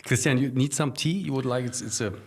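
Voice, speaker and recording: A man's voice: medium pitch, steady tone, fast talker, breathy edge. A man from Germany hosting a podcast in English with a German accent. He is hesitant and uses many ums and restarts. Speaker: podcast host. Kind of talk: casual conversational interview.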